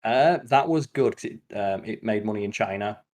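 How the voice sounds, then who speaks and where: A man's voice: on the low side, moderate pace, a little mellow. A man chatting casually as a podcast host, blunt and opinionated, with plenty of swearing.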